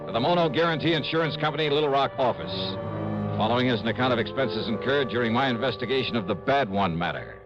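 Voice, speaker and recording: A man playing a wry, animated radio detective. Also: medium pitch, quick pace, natural voice. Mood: brisk narration. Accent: American. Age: 30s. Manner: clipped, wry, hardboiled. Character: brisk, wry thirty-year-old male freelance insurance investigator. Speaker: lead actor in a radio detective drama. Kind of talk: 1950s radio acting, hardboiled first-person narration. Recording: dull old radio recording, music behind.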